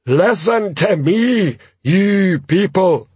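A man voicing a huge, slow-witted, blunt and dutiful troll police sergeant in an audiobook, with laboured, ungrammatical speech. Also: on the high side, husky, no echo, pitched above the performer's own voice. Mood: booming, stern. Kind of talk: audiobook character voice.

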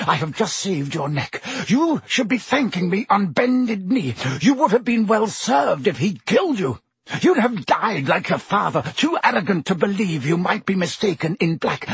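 A man's voice: mid-range voice, creaky, pushed and bright, breathy edge, dry recording, full character voice. A man reading an audiobook, voicing a bitter, vengeful male wizard teacher who speaks silkily and breaks into shrieks. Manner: silky, hissing, bursts into shrieks. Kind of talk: audiobook character voice.

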